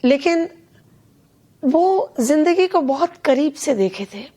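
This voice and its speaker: Earnest older woman, measured speech. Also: sits high, big pitch swings, warm tone. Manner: measured, earnest, slow and deliberate. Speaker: interview guest, Hindi poet and organiser. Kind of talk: reflective conversational interview.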